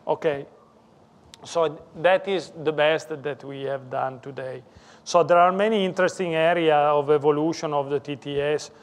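A man with an Italian accent, a native Italian speaker, lecturing in halting English that he often corrects mid-sentence. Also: on the high side, steady tone. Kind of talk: technical conference talk. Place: lecture room.

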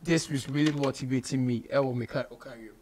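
A man's voice: medium pitch, fairly even intonation, fast talker, crowd noise. A Ghanaian-accented man in his thirties hosting a casual studio interview, mixing English with local slang and phrases. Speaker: radio show host, interviewer. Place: small radio studio office, indoors.

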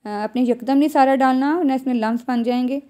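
Home cook, female, Pakistani accent, mellow and a little high, instructive tutorial narration. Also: fairly quick. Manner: instructive, addressing viewers directly. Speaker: home cook presenting a recipe. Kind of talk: step-by-step cooking tutorial voice-over.

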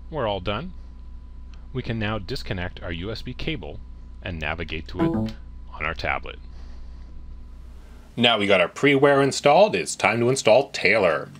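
A man's voice: fairly low pitch, very animated, normal speed, pauses often, fairly bright tone. A man presenting a step-by-step tech tutorial in a plain-spoken, instructional way, addressing the viewer directly. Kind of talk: step-by-step software tutorial narration.

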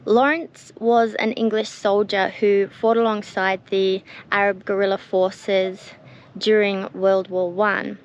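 A young woman narrating a travel vlog in a bright, enthusiastic, chatty way, filming herself on an action camera held at arm's length. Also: medium pitch, level tone, slow pace, muffled recording. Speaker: travel vlogger.

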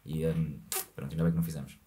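A man with a European Portuguese accent hosting a podcast, talking frankly and rambling with lots of fillers, in a small studio on a boom-arm mic. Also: little pitch movement, rapid-fire, mellow, slight background noise. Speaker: podcast host. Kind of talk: casual podcast chat.

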